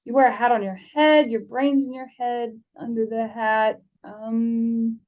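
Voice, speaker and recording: A woman's voice: fairly high pitch, steady tone, measured pace, highs cut off, natural voice. A woman voicing a chatty young wizard schoolboy in a storybook reading, offhand and rambling. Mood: puzzling aloud.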